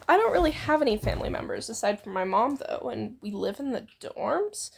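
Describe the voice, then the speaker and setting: A woman's voice: a little high, sing-song, moderate pace, gravelly, breathy edge, full character voice. A woman voicing a timid, dramatic teenage boy student in a storybook-style reading, flustered and hesitant. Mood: unsure.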